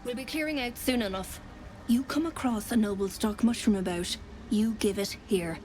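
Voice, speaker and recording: A woman's voice: fairly high pitch, normal speed, a little mellow, soft background music, lightly acted. A woman playing a weary, hard-bitten trader in a video game, speaking bluntly and plainly. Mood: blunt, guarded.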